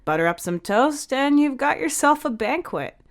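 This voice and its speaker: A woman's voice: sits high, big pitch swings, moderate pace, voice pushed up. Woman acting bossy, protective young man. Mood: casual, supportive. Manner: blunt, commanding.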